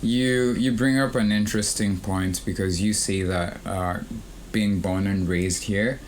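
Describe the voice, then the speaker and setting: A young man from Kenya co-hosting a student podcast, speaking thoughtfully with frequent pauses and fillers. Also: lowish voice, steady tone, a little hiss or hum. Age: young adult. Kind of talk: casual conversation.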